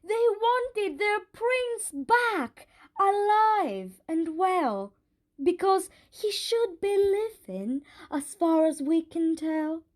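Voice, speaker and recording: A woman performing as a theatrical fairytale storyteller in an audio play. She recites rhymed verse dramatically, extremely slowly and in a very high voice. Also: lively intonation, full character voice. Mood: dramatic. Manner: dramatic, deliberate.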